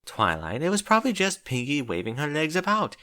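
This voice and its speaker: Man putting on a high-pitched, genteel lady unicorn. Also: wildly swooping pitch, brisk, pushed and bright, exaggerated voice. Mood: concerned, coaxing. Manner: affected, genteel, uses endearments. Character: refined, dramatic female unicorn, chirpy and solicitous.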